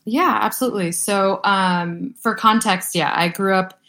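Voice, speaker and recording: A woman's voice: medium pitch, measured pace, pushed and bright. A young woman from the Boston area, a food brand co-founder, talking as a guest in a casual interview, upbeat and chatty with lots of "like". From Boston area, USA. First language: Vietnamese. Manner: upbeat, chatty, many "like" fillers.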